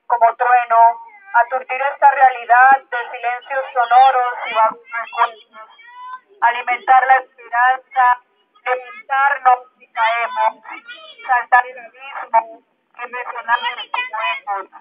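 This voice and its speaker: A woman's voice: on the high side, unhurried. Woman declaiming a poem on a megaphone, really emphatic, thin phone-like sound. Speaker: poet reading at a public poetry reading. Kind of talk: declaimed poetry reading. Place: outdoor public park.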